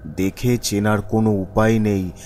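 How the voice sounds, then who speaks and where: A man's voice: lowish voice, flat delivery, a little slow, darkish tone, a little hiss or hum, close to an everyday voice. A man voicing an uneasy village shopkeeper who narrates a cartoon ghost story in a brooding, questioning manner. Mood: grave.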